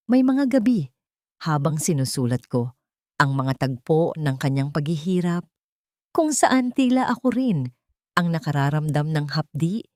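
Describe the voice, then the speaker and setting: Low-pitched Filipino woman narrating a story. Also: darkish tone, no room sound, clean studio sound. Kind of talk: scripted dramatic storytelling narration.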